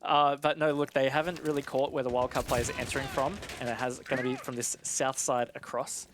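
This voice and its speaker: Mid-pitched male esports analyst, conversational. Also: little pitch movement, fairly quick, noisy background. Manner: conversational, explanatory.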